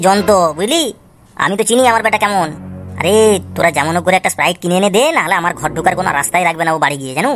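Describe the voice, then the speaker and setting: Woman voicing a rapid-fire, blustering cartoon dad. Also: low-pitched, close to an everyday voice. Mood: exasperated, anxious. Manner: coaxing, blustering, repetitive. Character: exasperated, scheming father caught drinking with friends. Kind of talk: comic cartoon dialogue. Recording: clear background noise.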